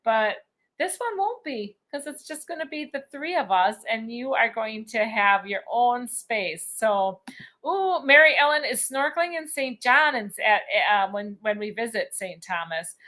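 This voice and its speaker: A woman's voice: fairly high pitch, lively intonation, a little slow, pushed and bright. A middle-aged woman hosting a casual cruise live stream, chatting, laughing often and reading out viewer comments. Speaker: cruise vlog host.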